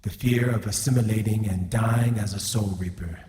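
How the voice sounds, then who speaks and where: Guy doing solemn vengeful anime warrior, bitter, declaiming slowly, big echoey reverb all over it. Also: lowish voice, steady tone, darkish tone, lightly acted. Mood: grave, bitter. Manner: solemn, dramatic, deliberate declaiming. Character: grave, vengeful male warrior, bitter and righteous. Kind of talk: anime fan dub acting. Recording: echoey, long cavernous reverb.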